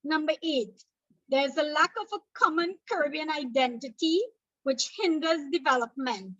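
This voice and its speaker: Trinidadian woman teacher, high bright voice, patient revision lecture, dry muffled recording. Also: moderate pace, no room sound. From Trinidad and Tobago. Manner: explanatory, repeats points, checks in with "okay?".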